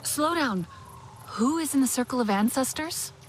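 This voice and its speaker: A young woman warrior from a video game, with a fairly high voice. She speaks earnestly and steadily, as someone eager to help. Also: expressive, moderate pace, slight background noise. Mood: concerned.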